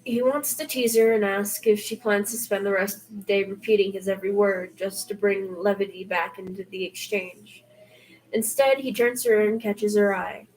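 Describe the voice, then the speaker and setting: A woman's voice: medium pitch, a little mellow, slight background noise, rough recording. A woman reading fiction aloud in an informal, slightly unpolished storytelling style. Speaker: podfic narrator reading fan fiction.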